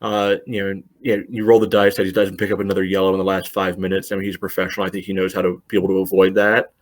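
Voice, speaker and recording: Podcast co-host, male, low-pitched, rambling casual delivery packed with "you know" fillers. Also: little pitch movement, rapid-fire. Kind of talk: casual soccer discussion.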